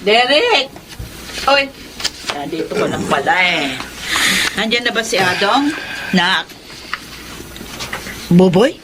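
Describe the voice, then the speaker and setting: An anxious middle-aged mother with a medium-pitched voice. She speaks earnestly and dramatically, radio drama style. The recording has clear background noise. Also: animated, takes it slowly, pauses often. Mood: alarmed. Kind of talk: Tagalog radio drama acting.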